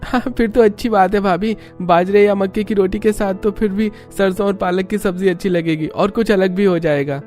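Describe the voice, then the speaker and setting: A woman voicing a calm, reassuring young husband in a cartoon story. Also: mid-range voice, moderate pace, faint music behind, close to an everyday voice. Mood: agreeable. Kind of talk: Hindi cartoon story character acting.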